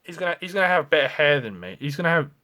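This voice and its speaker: A man's voice: fairly high pitch, very animated, very fast, sharp tone. A man, talking frankly and ramblingly in a confessional vlog, with lots of restarts and swearing. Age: 20s. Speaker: vlogger.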